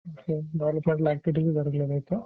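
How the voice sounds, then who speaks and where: A man's voice: fairly high pitch, flat delivery, rapid-fire. A man working as a survey caller, politely and deferentially asking questions on the phone and mixing in English words. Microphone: telephone. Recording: muffled lo-fi leaked phone call.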